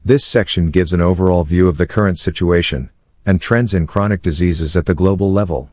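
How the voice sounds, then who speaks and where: A man reading formal academic passages aloud in a careful, measured manner, as in exam practice. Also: deep voice, a little mellow.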